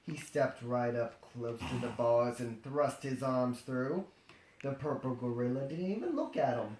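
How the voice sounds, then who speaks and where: A storyteller reading aloud comedically, with animated, sarcastic improvised asides. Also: medium pitch, measured pace, a little hiss or hum. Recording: roomy, echoing sound.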